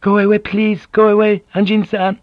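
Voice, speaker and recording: Proud hurt noblewoman, cool and bitter, kinda high, male reader doing her voice, dull flat sound. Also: moderate pace, no echo. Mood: pleading. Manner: calm, controlled, bitter.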